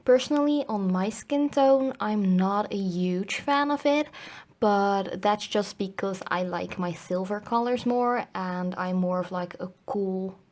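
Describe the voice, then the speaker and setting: A woman's voice: mid-range voice, unhurried, darkish tone. A woman from the Netherlands giving a casual, chatty review voiceover, her voice slightly cracky from a cold coming on. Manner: chatty, informal, frequent hedging. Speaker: nail polish reviewer.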